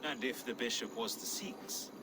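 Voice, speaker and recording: A man's voice: medium pitch, normal speed, heavy background noise, natural voice. Man playing a wry, eloquent English scribe. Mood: amiable, reflective. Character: jovial, learned, wry English scribe and friend. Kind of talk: dramatic video game acting.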